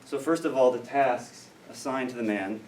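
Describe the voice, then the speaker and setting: A man's voice: medium pitch, normal speed, a little mellow, distant mic. American male scholar, mid-range voice, dry explanatory lecture delivery; roomy recording with faint noise. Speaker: academic lecturer in biblical studies. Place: lecture room.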